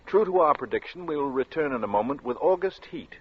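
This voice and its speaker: Old time radio announcer guy, dramatic, rough husky voice, dull sound. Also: a little high, lively intonation, quick pace. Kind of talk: dramatic scripted 1940s radio narration. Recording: muffled 1940s radio recording.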